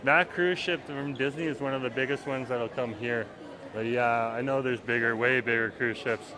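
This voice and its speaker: A man chats his way through a packed outdoor festival, rambling and easygoing as he streams from his phone while walking. Clear festival crowd chatter fills the air around him. His voice sits at an ordinary middle pitch for a man. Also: steady tone, normal speed, rough recording. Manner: rambling, chatty, reads viewer comments aloud. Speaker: livestream vlogger. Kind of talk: casual walking-tour commentary. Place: outdoors at a busy waterfront festival. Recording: loud crowd noise outdoors.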